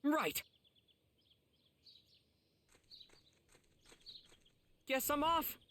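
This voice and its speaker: A performer voicing an earnest, gentle, determined teenage boy in an anime game, speaking softly and sincerely. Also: high-pitched, animated, a little slow, reverberant, in a large hall, a little hiss or hum, exaggerated voice. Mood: grateful, resolved. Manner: soft, sincere, thoughtful.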